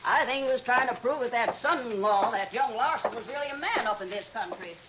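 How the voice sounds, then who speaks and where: A woman's voice: fairly high pitch, lively intonation, brisk, natural voice. A woman playing a bossy, motherly backcountry woman in a 1960s radio drama, with a folksy, insistent, fussing manner. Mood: brisk, fussing. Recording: muffled, noisy old radio recording.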